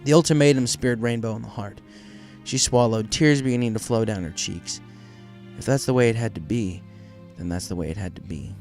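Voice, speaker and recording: A man's voice: on the low side, fairly quick, darkish tone, soft background music. A man reading a story aloud as scripted narration. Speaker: fan fiction reader.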